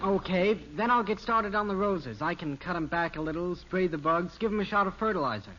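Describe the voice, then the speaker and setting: A man's voice: sits high, expressive, a little fast, sharp tone. A man playing an eager, wheedling American teenage son in a 1950s radio sitcom, boyish and earnest. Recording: dull, noisy old radio recording.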